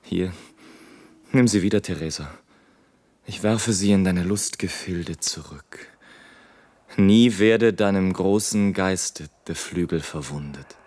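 An actor playing an anguished, visionary balloonist in a literary radio play, declaiming a solemn dramatic monologue. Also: male voice, deep voice, slow pace, pauses often, darkish tone. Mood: rapturous, foreboding. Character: anguished, visionary balloonist narrating war below, bitter and rapturous.

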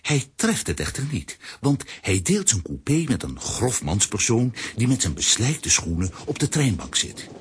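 A man's voice: mid-range voice, very animated, moderate pace, few pauses, slightly rough. A man narrating a scripted children's radio play as storyteller. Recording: noisy old audio-play recording.

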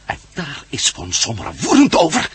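A man playing a wary adventure hero in a Dutch radio drama, brisk and questioning. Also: on the high side, huge pitch swings, fairly bright tone, husky, no echo, full character voice. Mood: amazed. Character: wary, probing male adventure hero just freed from captivity.

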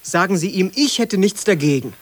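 A young male tenant in a 1950s radio play. The voice is fairly high for a man and noticeably husky. He speaks bluntly and tersely, with dry, mild irony. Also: animated, moderate pace. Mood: defiant. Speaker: actor.

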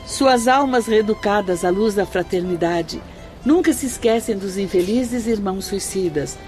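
A woman's voice: mid-range voice, moderate pace, a little mellow, close to an everyday voice. An actress playing a serene, devout female spirit guide in a radio drama, formal and reverent. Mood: gracious. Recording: faint music under the voice.

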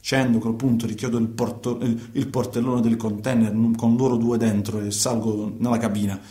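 An actor playing a guilty, self-justifying truck driver in a radio play, with a southern Italian accent and a halting, repetitive, colloquial delivery. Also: male voice, medium pitch, flat delivery, warm tone, lightly acted. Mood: uneasy, explaining. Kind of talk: radio theatre monologue, colloquial confession. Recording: echoey room.

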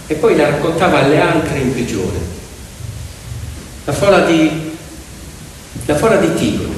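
A man telling a story theatrically, emphatic and full of pauses. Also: medium pitch, slight background noise. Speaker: stage actor and storyteller. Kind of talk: theatrical storytelling to piano accompaniment. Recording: echoey hall, distant mic. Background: piano music.

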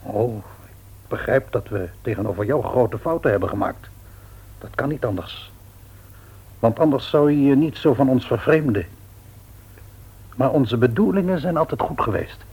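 A man playing an earnest, patient, devout middle-aged father in a radio play, with measured delivery and a rough-edged husky voice. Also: medium pitch, lively intonation, a little mellow, poor audio. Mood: earnest, conciliatory. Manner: measured, grave, deliberate. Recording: old radio play recording.

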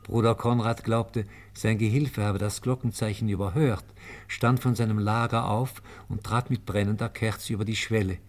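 A man narrating a scripted radio play in a solemn, measured storytelling manner. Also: on the low side, fairly even intonation.

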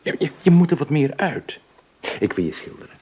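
A man's voice: fairly high pitch, very animated, a little fast, noisy background. An actor playing a sulky, possessive painter wooing a woman in a radio drama, insistent and teasing, repeating himself. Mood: coaxing.

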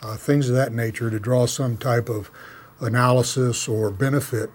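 A man with an American accent giving measured, hesitant interview answers. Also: mid-range voice, fairly even intonation, darkish tone.

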